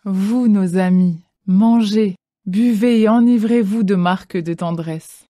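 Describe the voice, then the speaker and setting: A woman's voice: medium pitch, slow, mellow, dry recording, lightly acted. A woman playing a celebrating companion who urges friends on in a dramatized scripture reading. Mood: inviting.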